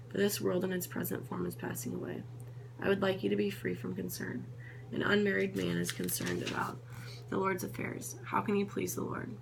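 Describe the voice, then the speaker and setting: A woman reading Bible passages aloud in a steady, unhurried way, now and then correcting a slip. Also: on the low side, gravelly, background noise. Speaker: Bible reader.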